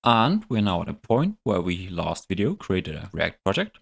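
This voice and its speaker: A man hosting a programming channel, walking through his project in a relaxed, unscripted tutorial and thinking aloud with many fillers. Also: low-pitched, moderate pace, gravelly. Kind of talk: casual screen-recorded coding tutorial.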